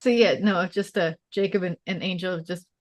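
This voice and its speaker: A woman with a fairly low voice chats informally on a group call. She is expressive and talkative, and the sound is dry, with little room in it. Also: normal speed, no room sound. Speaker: table read participant. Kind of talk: casual chat.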